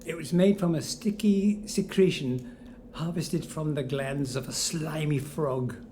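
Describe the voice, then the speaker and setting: A man's voice: fairly high pitch, measured pace, dark tone, close to an everyday voice. Man playing a dry, joking inventor ghost. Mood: playful. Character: flippant, rueful male ghost, a failed inventor. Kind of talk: audio drama acting. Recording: faint noise behind.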